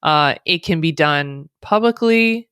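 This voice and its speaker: Smooth-voiced American woman explaining calmly. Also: sits low, takes it slowly, no echo, clean studio sound. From United States. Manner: thoughtful, speaks in long explanatory runs with fillers. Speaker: guest, diversity consultant. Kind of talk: conversational interview.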